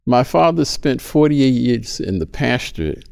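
A man in his sixties, a pastor, telling an interviewer about his calling in an earnest, measured way. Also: mid-range voice, sing-song, gravelly, darkish tone, no echo, clean studio sound. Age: 60s. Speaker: pastor, guest. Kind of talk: interview talk.

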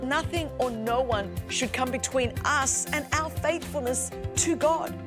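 A woman's voice: high voice, unhurried, music in the background. A woman, a native Greek speaker, preaching and telling a story with mounting passion and emphatic repetition. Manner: impassioned storytelling, builds to loud emphatic repetition. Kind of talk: sermon.

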